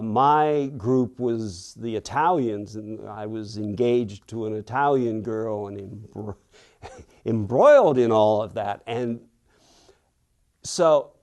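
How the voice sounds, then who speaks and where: Slow, mellow older man reminiscing. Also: medium pitch. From Western Pennsylvania. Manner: thoughtful, unhurried, acts out quoted people. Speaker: author being interviewed. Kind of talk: reflective storytelling interview.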